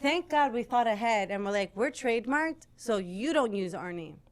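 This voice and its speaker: A woman telling stories conversationally as a radio host, animated, acting out quoted dialogue and sometimes slipping into Spanish. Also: fairly high pitch, normal speed. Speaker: radio host, podcast guest.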